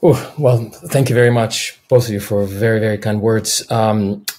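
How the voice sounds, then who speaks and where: A man in his forties from Belarus, a native Russian speaker with a Belarusian accent, answering interview questions over a video call in a measured, thoughtful way. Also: on the low side, steady tone, darkish tone. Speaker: film director guest. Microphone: video call, webcam.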